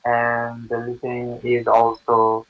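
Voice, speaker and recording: A young man from Bangladesh, a native Bangla speaker, answering practice test questions in halting English with frequent pauses and fillers. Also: mid-range voice, monotone, phone-quality sound. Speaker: test candidate.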